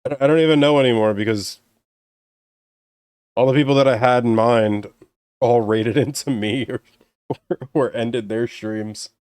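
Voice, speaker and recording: A young man with a deeper voice chatting casually with viewers as he ends a live stream, sounding tired and strained, relaxed and rambling. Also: moderate pace, pauses often, clear, resonant tone, no room sound. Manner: relaxed, rambling, self-deprecating.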